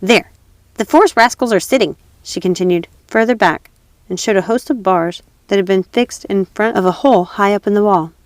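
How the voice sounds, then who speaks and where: A woman's voice: medium pitch, a little fast, a little mellow, smooth, no room sound. A woman narrating a classic fairy tale as a storybook reader.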